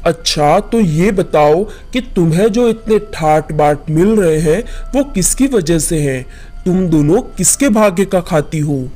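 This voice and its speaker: A woman doing a proud, dark-voiced landlord father. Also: lowish voice, animated, faint music behind, pitched below the performer's own voice. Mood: probing, proud. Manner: imperious, deliberate questioning. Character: proud, wealthy landlord father, stern and challenging. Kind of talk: Hindi moral storybook narration.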